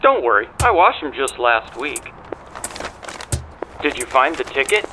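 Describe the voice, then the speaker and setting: A man's voice: medium pitch, very animated, unhurried, pushed and bright, slight background noise. A man playing a chatty, conspiratorial coin-club ticket clerk in an audio drama, with a chirpy, knowing manner. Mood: knowing, helpful.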